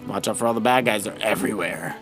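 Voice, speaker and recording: A man's voice: on the low side, quick pace. A man giving casual, coaching commentary on a video game as someone else plays. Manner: animated, coaching, addresses the player as buddy. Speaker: co-host of a family video game Let's Play. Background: video game music.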